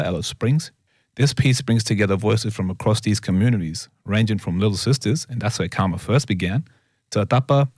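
Guy reading a radio report, lowish voice, dead dry studio sound. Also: a little mellow, dry recording. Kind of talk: scripted narration.

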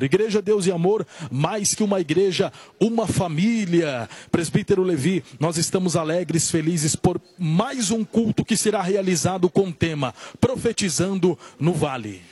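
Man, husky voice, high pitch, fervent preacher cadence, live church radio. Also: expressive, moderate pace, a little mellow, no echo. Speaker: church elder and radio presenter. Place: church entrance.

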